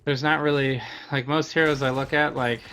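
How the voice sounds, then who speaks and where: A man's voice: medium pitch, steady tone, moderate pace, a bit bright, slight background noise, rough recording. A man giving casual gameplay commentary as a streamer, explaining things in a conversational way. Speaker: game streamer.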